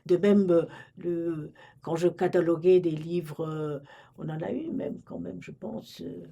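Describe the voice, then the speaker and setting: A woman's voice: on the low side, unhurried, vocal fry, warm tone, muffled recording. An older woman from Romania, a retired librarian, recalling her past in an oral history interview, hesitant and full of restarts. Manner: hesitant, many restarts and fillers.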